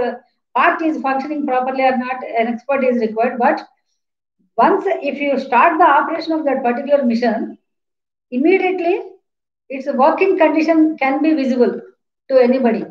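A woman in her fifties with an Indian accent, lecturing an online class in a didactic, repetitive way with questions to students. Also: sits high. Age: 50s. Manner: didactic, repeats points, poses questions. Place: small plain room indoors. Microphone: webcam or laptop mic at a distance. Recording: roomy, echoing, dull laptop-mic sound.

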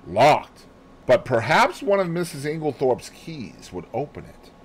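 A man's voice: a little high, sing-song, husky, voice pushed up, heavily acted. Man voicing a fussy, lilting detective. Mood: brisk, musing. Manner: precise, deliberate, peppered with French phrases. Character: fussy, placid Belgian male detective, growing old. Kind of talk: audiobook character dialogue.

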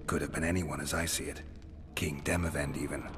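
Weary sarcastic monster hunter for a video game, really low deadpan voice, noticeable background ambience. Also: male voice, a little fast, background noise. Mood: defiant, flippant. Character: weary, sardonic male monster hunter held prisoner.

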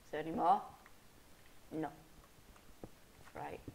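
Young guy, stuffy cold, slow rambly game chat at his desk mic. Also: a little high, a little mellow, poor audio. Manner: rambling, self-correcting, mock-angry at enemies. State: sniffly head cold. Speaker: gameplay commentator. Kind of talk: casual game commentary.

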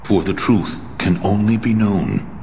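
An actor playing a grave, wistful ancient creator sage in a video game cutscene, speaking in measured, formal phrases. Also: male voice, on the low side, animated, creaky, mellow. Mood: philosophical, grave. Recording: long cavernous reverb, faint background noise.

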